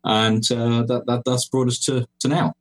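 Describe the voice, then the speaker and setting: A man's voice: medium pitch, steady tone, normal speed. A man in his forties with an English accent, a podcast guest chatting casually and hesitantly, with many fillers and restarts. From England, raised in Cyprus. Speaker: podcast guest, geocoin designer. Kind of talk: casual chat over a call.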